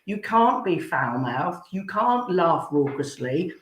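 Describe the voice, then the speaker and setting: A woman's voice: fairly low pitch, sing-song, slow pace. A woman chatting casually as a podcast guest, blunt, irreverent and jokey. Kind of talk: casual chat. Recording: roomy, echoey sound.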